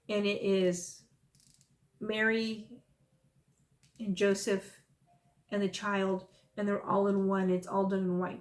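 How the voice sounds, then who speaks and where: A woman, chatting casually and rambling into folksy, self-amused asides in her stitching vlog. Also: mid-range voice. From South Texas. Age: 50s. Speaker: stitching vlogger.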